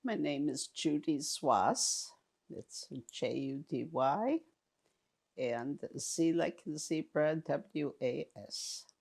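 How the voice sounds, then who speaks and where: A woman in her eighties speaks slowly and haltingly in an oral history interview, often pausing on fillers and restarting. Her voice is heavily gravelly and creaky. German was her first language. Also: low voice, darkish tone. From Romania. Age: 80s.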